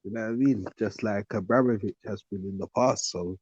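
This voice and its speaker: Man, slightly rough voice, casual football talk, slangy, dry close recording. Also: fairly low pitch, brisk, darkish tone, no room sound, muffled recording. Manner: slangy, often tags phrases with "you know what I mean". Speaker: podcast panellist.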